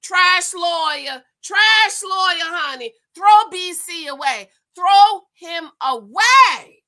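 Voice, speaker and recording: A woman with a very high, forceful voice gives informal reaction commentary. She is animated and exclamatory, dragging out key words and repeating phrases for emphasis. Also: slow pace. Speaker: host of a commentary channel. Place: small room at home, indoors.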